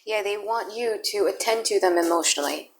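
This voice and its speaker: A woman's voice: mid-range voice, brisk, very noisy. A woman whose first language is Indonesian gives a chatty, blunt tarot reading, thinking aloud as she turns the cards. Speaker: tarot reader. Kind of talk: casual tarot reading to camera.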